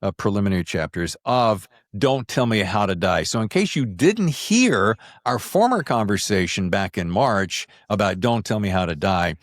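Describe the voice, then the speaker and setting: A man with a lowish voice hosts a casual interview show. He talks informally, with a bantering, chatty way about him. The sound is very dry, with no room on it. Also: lively intonation, moderate pace, hardly any pauses, dry recording. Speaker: podcast host. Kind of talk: casual conversational book interview.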